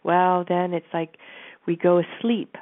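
A woman with a stuffy nose, calmly guiding listeners through an exercise from her office and speaking directly to them. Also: fairly low pitch, measured pace. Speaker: host and coach. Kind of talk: guided teaching talk. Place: home office. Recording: narrow phone-like line.

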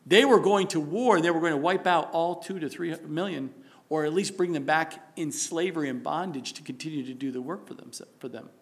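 A man preaching a sermon, building to loud emphatic stretches and dropping to a soft prayerful tone. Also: a little high, fairly quick.

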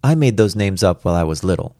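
A man's voice: low voice, expressive, fast talker, warm tone, no echo, studio quality. A man voicing a precocious four-year-old girl in a storybook reading, matter-of-fact, patient and superior. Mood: patient, exasperated.

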